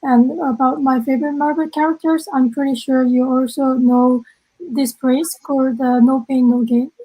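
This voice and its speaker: High-voiced Korean woman presenting. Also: fairly even intonation, lo-fi sound. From South Korea. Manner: halting, many fillers. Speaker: event co-host. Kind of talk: presenting at an online event.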